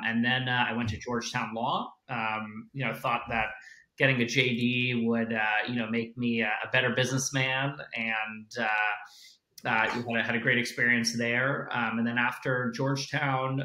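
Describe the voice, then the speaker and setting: A man in his thirties with an American accent, originally from South Africa, talking casually as a guest over a video call from his home office, with frequent fillers. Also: medium pitch. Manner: relaxed, many "uh" and "you know" fillers. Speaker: venture capital investor guest. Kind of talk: casual interview. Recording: echoey room on a video call.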